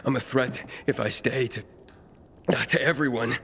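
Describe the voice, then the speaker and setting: A man's voice: mid-range voice, normal speed, slight background noise, close to an everyday voice. An actor playing a tense, driven young male survivor hero in a video game, urgent and strained. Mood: grim. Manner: urgent and strained, shouting in danger. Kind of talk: video game cutscene acting. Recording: game audio with clear ambience.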